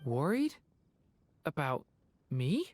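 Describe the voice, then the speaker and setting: A woman voicing a gentle, earnest, slightly anxious young hero in an anime game, with a hesitant manner. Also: low voice, sing-song, slow pace, heavily acted. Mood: moved, apologetic. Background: background music.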